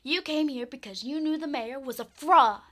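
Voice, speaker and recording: Bright, high-voiced bold young girl sleuth, woman acting. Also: normal speed. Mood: accusing, confident. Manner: direct, inquisitive, confident. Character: bold, eager young girl, detective's apprentice. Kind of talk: radio drama acting.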